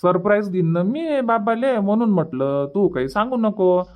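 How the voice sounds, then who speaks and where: A man's voice: high-pitched, lively intonation, normal speed. A man voicing an easygoing young village son in a cartoon comedy, chatty and colloquial, with a rural Vidarbha Marathi accent. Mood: reassuring, cheerful.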